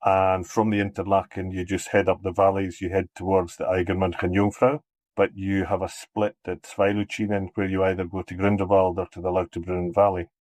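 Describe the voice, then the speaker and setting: Scottish guy, deep and pretty flat, middle-aged, stumbles and repeats a bit. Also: a little fast, no echo. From Scotland. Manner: repeats words and restarts while warming up. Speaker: cableway marketing head. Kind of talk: casual interview talk.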